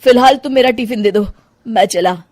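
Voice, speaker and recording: A woman's voice: fairly high pitch, moderate pace, a little mellow, dry recording, close to an everyday voice. Woman doing a harried young man, dry sound. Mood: harried dread. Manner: anxious, complaining. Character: harried young working son, hen-pecked. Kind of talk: comic story dialogue.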